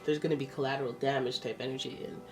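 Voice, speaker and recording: A woman's voice: rumbling low, steady tone, very fast, far from the mic, faint music behind. A woman with a stuffy nose giving a casual, chatty tarot reading, exclaiming and thinking aloud as she turns the cards. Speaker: tarot reader.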